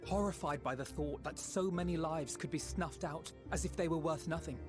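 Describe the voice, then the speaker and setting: An actor playing an earnest, compassionate young male hero in a dramatic game scene, speaking with deliberate empathy. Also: fairly high pitch, natural voice. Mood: grave, empathetic. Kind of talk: dramatic video game acting. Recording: music behind the voice.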